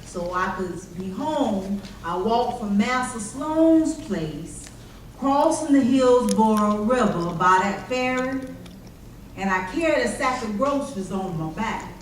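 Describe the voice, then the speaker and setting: A woman performs an earnest, plainspoken former slave recalling the past in folksy dialect. The delivery is slow and carries from far away through a very echoey hall. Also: fairly high pitch, expressive. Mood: wistful recollection. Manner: folksy dialect, measured storytelling. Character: formerly enslaved person recalling plantation life, earnest, plainspoken. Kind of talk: readers theater monologue performed on stage. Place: church hall. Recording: distant mic, very echoey hall, faint noise.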